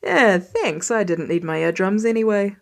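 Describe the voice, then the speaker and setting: A woman's voice: mid-range voice, normal speed, gravelly, lightly acted. A woman voicing a tired, affectionate middle-aged father in an audiobook, with weary, sarcastic grumbling. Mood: mock offended.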